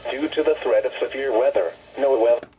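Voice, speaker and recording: Male weather radio announcer, middle pitch, formal synthetic delivery, scripted warnings, dull band-limited sound, clear static noise. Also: brisk.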